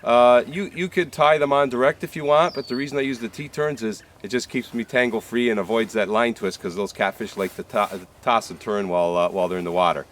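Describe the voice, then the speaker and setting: A man's voice: mid-range voice, fairly quick, hardly any pauses, slight background noise. Folksy man with a stuffy cold. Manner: chatty and folksy, lots of "uh" and "folks". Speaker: fishing show host. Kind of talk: casual outdoor how-to talk to camera. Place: outdoors on a creek shoreline.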